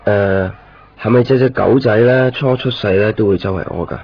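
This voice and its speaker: Earnest, insecure boyfriend, male actor, Hong Kong accent, radio drama, lowish register, muffled sound. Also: normal speed, slight background noise, close to an everyday voice. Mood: casual. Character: earnest, uneasy boyfriend clinging to fragile love. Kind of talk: radio drama dialogue and narration. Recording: dull 1990s radio recording, music behind. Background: clear background music.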